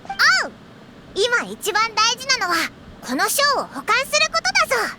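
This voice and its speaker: A woman voicing a small, childlike fairy companion in an anime game, brash, rough-spoken and excitable. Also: very high voice, fast, full character voice. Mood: determined. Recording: clearly noisy, game sound underneath.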